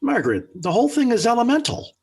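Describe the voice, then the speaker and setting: A man's voice: very high voice, huge pitch swings, fast, gravelly, a little mellow, husky, heavily acted. An actor playing a smug, scheming middle-aged American father in 1940s radio sitcom style, with pompous, emphatic speechifying. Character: smug scheming family father, blustery and theatrical. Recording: old 1940s radio recording.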